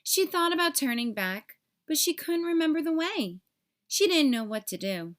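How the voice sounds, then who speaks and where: High-voiced woman reading a children's story. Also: very animated, normal speed. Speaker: read-aloud storyteller for children. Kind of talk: expressive scripted story narration.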